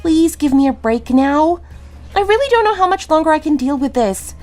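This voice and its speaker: A woman plays a worn-out grown daughter in an audio drama, with a high voice. She sounds fretful, pleading and exasperated over faint music. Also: animated, moderate pace, darkish tone. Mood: weary, embarrassed. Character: exhausted, exasperated grown daughter and young mother. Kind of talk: dramatic dialogue.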